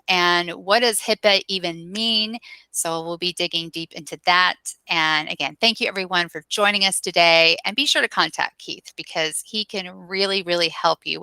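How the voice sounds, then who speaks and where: Woman host, low-pitched, bright and crisp, dry close sound, friendly. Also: dry recording, poor audio. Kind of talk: live-stream interview. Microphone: video call.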